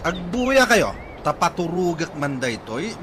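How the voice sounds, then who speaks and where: A man's voice: fairly high pitch, very animated, unhurried. A man playing a vain, hot-tempered young boyfriend in an Ilocano radio comedy drama, blustering in an Ilocano accent. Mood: angry, challenging. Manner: blustering, confrontational. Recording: very noisy audio with bird sounds.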